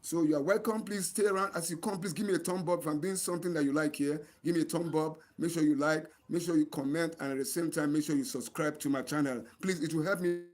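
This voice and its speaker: A man's voice: a little high, steady tone, quick pace, few pauses, a little mellow. A man with a Nigerian accent speaking earnestly to viewers as a channel host, repeating himself for emphasis. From Nigeria, Delta State. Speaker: host of an online channel, NGO advocate. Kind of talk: livestream monologue addressed to viewers.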